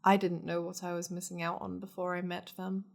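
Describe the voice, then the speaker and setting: A woman voicing a seductive, possessive demon lady in an ASMR roleplay, languid and teasing, slipping into breathy half-whispers. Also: on the low side, little pitch movement, brisk. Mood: fond, teasing. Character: seductive, possessive powerful demon lady doting on her pet.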